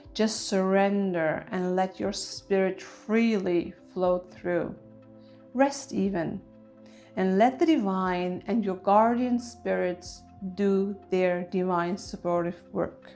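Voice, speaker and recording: Slow-paced woman guiding a soothing meditation. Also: medium pitch. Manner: soothing, gentle, deliberate phrasing. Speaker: meditation guide. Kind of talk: guided meditation, ASMR. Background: soft music with chimes.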